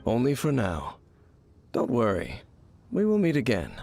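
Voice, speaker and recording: An actor playing a calm, wise man who gives slow, formal advice in a video game. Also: mid-range voice, creaky, exaggerated voice. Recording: game audio with music.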